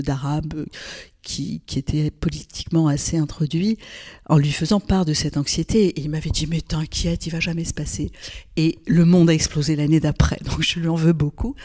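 A woman's voice: low-pitched, mellow. A woman in her sixties from Lebanon, a podcast guest recalling her past in a thoughtful way, often hesitating and repeating words. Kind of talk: podcast interview, personal recollection.

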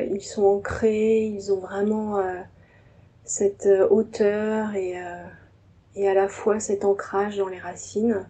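Slow, soft-spoken woman with a mellow voice. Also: mid-range voice, rough recording. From France. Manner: soft-spoken, hesitant with many fillers. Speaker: tea ceremony host and guest. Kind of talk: reflective personal testimony.

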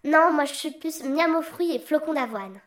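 Outspoken young striker daughter played by a woman, high-pitched, slangy and indignant, comic audio fiction. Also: lively intonation, normal speed. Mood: casual, cheeky.

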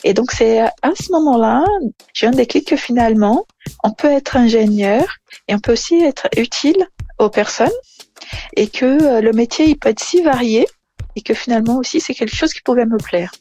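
Gravelly-voiced woman, hesitant. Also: a little high, normal speed, mellow. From Brazil. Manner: hesitant, many fillers and restarts. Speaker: engineering manager. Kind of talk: interview answers.

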